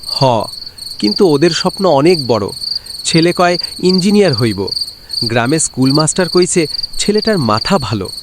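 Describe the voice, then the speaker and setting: A woman's voice: low voice, expressive, moderate pace, pauses often. A woman voicing a worried, weary farmer father, in a cartoon. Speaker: cartoon voice actor. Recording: loud cricket and insect noise.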